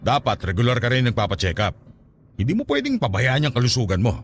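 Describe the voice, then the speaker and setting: Caring, probing man, very lively intonation. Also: mid-range voice, quick pace, a little hiss or hum. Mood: caring, curious. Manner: probing, solicitous. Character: caring, inquisitive male partner, gently suspicious. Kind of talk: Tagalog radio drama acting.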